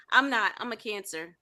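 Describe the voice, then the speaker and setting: A woman's voice: a little high, sharp tone, no echo. A woman chatting casually as a guest on a radio talk show. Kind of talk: casual chat.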